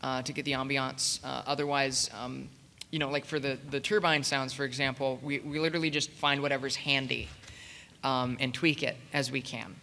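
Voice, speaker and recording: A man talking casually and haltingly as a panelist at a convention. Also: medium pitch, level tone, gravelly, pushed and bright, slight background noise. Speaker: panelist, radio play producer. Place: large convention meeting room, panel table. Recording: hall reverb, convention room PA.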